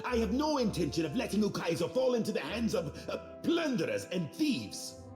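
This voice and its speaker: Pompous game official, male: indignant, haughty, highish and very animated, echoey room. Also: moderate pace, over music. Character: indignant, haughty male colonial trade director. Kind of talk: video game character acting. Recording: roomy echo on the voice.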